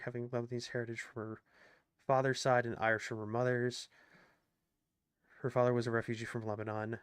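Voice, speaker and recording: A man's voice: medium pitch, a bit bright, smooth, no echo, clean studio sound. A man commenting on clips in a casual, indignant way, thinking aloud with lots of fillers and restarts. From United States.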